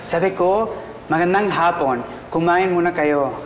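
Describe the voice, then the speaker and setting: An actor playing a suave middle-aged businessman, smooth and showy, who sprinkles in foreign phrases. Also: high-pitched, normal speed, reverberant, a little hiss or hum, close to an everyday voice. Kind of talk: sitcom acting.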